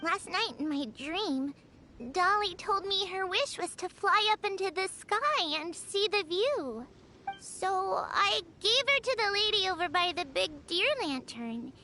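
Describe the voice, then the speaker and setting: Innocent, high-voiced little girl. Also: lively intonation, a little slow, sharp tone, slight background noise, full character voice. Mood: wistful, hopeful. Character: earnest, innocent little village girl, hopeful and wistful. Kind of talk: anime game voice acting.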